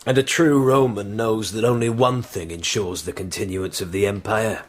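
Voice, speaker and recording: An actor playing a blunt, hard-bargaining barbarian army commander in a radio drama, measured and weighty. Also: male voice, lowish voice, fairly even intonation, natural voice. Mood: stern.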